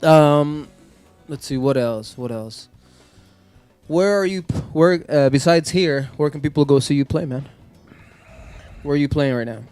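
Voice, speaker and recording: A man's voice: fairly high pitch, measured pace, a little mellow, clear, resonant tone, dry recording, faint music behind. A man hosting a studio interview, talking in a laid-back way and calling his guest man and dude. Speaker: show host. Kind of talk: casual interview chat. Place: small studio.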